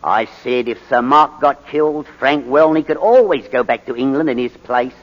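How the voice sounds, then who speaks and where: A man's voice: mid-range voice, moderate pace, natural voice. An actor playing a sly, falsely genial male blackmailer who wheedles and taunts in 1940s radio drama style. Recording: muffled, reverberant 1941 radio broadcast.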